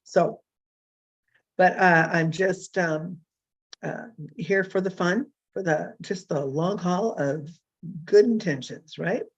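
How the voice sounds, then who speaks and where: A hoarse woman in her fifties hosting a casual solo talk show, rambling and self-deprecating with many fillers and restarts. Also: lowish voice, slow pace, highs cut off. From California. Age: 50s. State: hoarse from illness.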